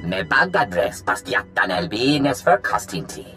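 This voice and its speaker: A man voicing a sly, excitable alien in a video game, babbling in an invented tongue. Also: mid-range voice, a little slow, faint music behind. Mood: scheming. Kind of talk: video game creature voice acting.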